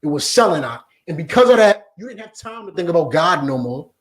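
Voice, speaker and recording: A man preaching a forceful lesson to a class, often asking if they understand. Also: on the high side, very animated, fairly quick. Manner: forceful, repeats "you understand?". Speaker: religious teacher. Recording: echoey room.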